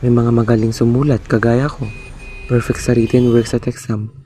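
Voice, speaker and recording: A young man playing a shy, insecure student who speaks softly and stammers, narrating his own story in a student radio drama. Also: medium pitch, little pitch movement, normal speed, slight background noise, lightly acted. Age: young adult. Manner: soft-spoken, self-doubting, stammers. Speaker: narrator and lead character.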